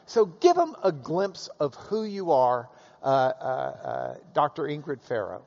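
A man's voice: on the high side, huge pitch swings, measured pace, vocal fry, a little mellow. Joking male host in a hall. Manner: jovial, joking, many fillers. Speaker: host interviewer. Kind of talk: live stage interview. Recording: dull sound with long hall reverb.

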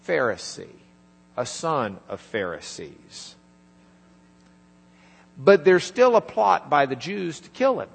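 A man preaching a sermon, retelling a scripture story in a conversational way and quietly acting out the lines of its people. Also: fairly high pitch. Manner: conversational, acts out dialogue in quiet asides. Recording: reverberant hall with mains hum.

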